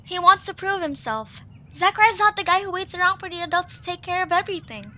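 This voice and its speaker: A woman's voice: extremely high pitch, expressive, fairly quick, bright, forceful tone, heavily acted. A woman voicing a stubborn, guilt-ridden young creature in an audio drama. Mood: resigned, thoughtful. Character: stubborn, guilt-ridden young female Zoombini creature searching for her friend. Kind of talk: audio drama character acting.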